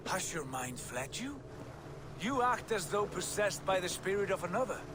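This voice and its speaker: A pragmatic warrior god talks in a high, firm voice. He sounds measured and reasoning. A steady noise sits under the game audio. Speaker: game character.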